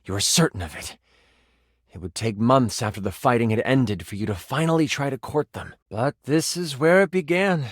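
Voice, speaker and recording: A man's voice: medium pitch, lively intonation, moderate pace, no room sound, studio quality, close to an everyday voice. A man playing a playful game master, narrating a fantasy tabletop adventure in a theatrical, dramatic storytelling style. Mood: wistful. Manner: theatrical, second-person storytelling.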